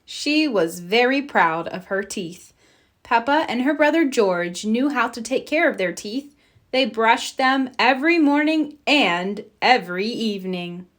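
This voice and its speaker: A woman reading a children's storybook aloud in an expressive, deliberate storytelling style. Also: a little high. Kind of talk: read-aloud scripted narration.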